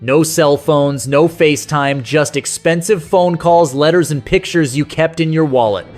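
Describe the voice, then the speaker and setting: A man with a slightly high voice hosts a scripted countdown. He tells it like a colloquial, nostalgic storyteller. Faint music plays behind his narration. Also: measured pace. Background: soft rock music behind the voice.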